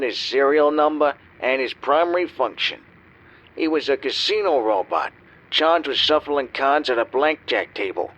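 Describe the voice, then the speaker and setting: A man's voice: medium pitch, lightly acted. Man acting a wry hardboiled gambler, dull recording. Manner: wry, world-weary, deadpan. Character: down-and-out cynical male gambler and con man. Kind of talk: hardboiled noir radio play narration. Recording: dull, slightly lo-fi.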